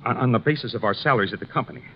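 A man playing a nervous, frightened businessman who talks haltingly and repeats himself in a 1950s radio drama. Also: mid-range voice, lightly acted. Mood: frightened, urgent. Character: frightened, jittery businessman hiding from a killer. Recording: dull, noisy 1950s radio recording.

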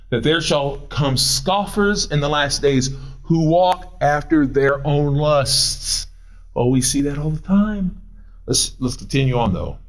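A man in his forties, a pastor preaching a sermon, animated and answering imagined questions, moving up and down as he talks. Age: 40s. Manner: animated, swooping pitch, paces while preaching.

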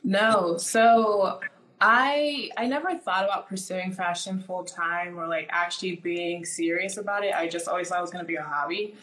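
A woman's voice: lowish voice, steady tone, moderate pace, fairly bright tone, reverberant, rough recording. A woman in her twenties, born in Nigeria and raised in the US, talking casually as an interview guest, with lots of "like" fillers. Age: 20s. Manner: chatty, many "like" fillers.

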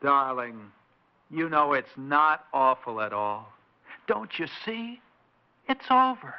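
An American actor playing a suspicious yet effusively loving husband in 1960s radio drama, theatrical and emphatic, swinging between tender and wary. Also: male voice, mid-range voice, lively intonation, slow, clean tone. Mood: relieved, effusive. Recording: dull, band-limited 1960s radio recording.

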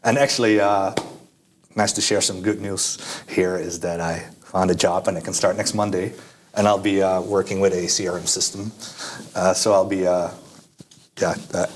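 A young Dutch man answering interview questions in a casual, hesitant way, with many fillers and restarts. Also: low-pitched. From Netherlands. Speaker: guest.

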